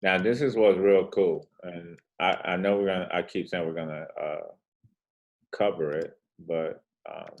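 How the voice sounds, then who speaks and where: A man with a very deep, low voice and a soothing tone talks through charts in a relaxed, slangy way. He drifts into rambling asides as he goes, easygoing and conversational. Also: a little slow, highs cut off. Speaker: forex trading host. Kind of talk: casual chart walkthrough.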